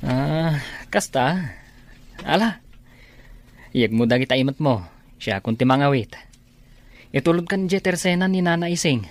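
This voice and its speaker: A man's voice: a little high, animated, unhurried, pauses often. An actor playing a friendly, concerned male buddy in a radio comedy drama. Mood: friendly, helpful.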